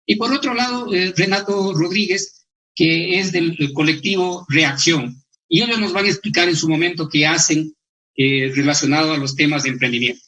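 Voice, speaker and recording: A man's voice: on the high side, normal speed, pushed and bright, rough recording. A man hosting a talk show, introducing guests with many hesitant fillers. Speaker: TV host.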